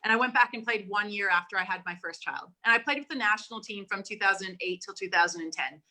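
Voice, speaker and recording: A woman's voice: mid-range voice, fast, pushed and bright, low-quality recording. A woman in her forties, a volleyball coach from Canada whose accent mixes Canadian and Australian, talking in a chatty, upbeat way over a video call. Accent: mix of Canadian and Australian. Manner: chatty and upbeat, laughs often. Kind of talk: casual interview chat.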